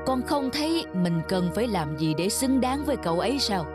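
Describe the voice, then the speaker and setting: A woman voicing a calm middle-aged father in a cartoon, who reasons patiently and lectures his daughter, with music underneath. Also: fairly high pitch, brisk. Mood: lecturing. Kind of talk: cartoon dub acting. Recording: studio voice-over with background music.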